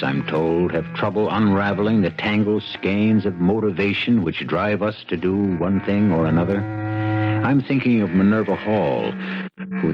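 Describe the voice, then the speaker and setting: Over clear music in a dull vintage broadcast, a wry American mystery host. His very low voice muses philosophically, with deliberate theatrical phrasing. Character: wry, musing male mystery show host. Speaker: host narrator. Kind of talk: scripted 1970s radio drama narration.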